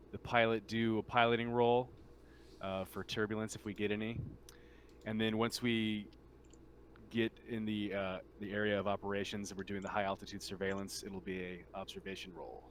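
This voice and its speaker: A man narrating a tabletop roleplaying game as game master, in an informal, halting way. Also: on the low side, slight background noise.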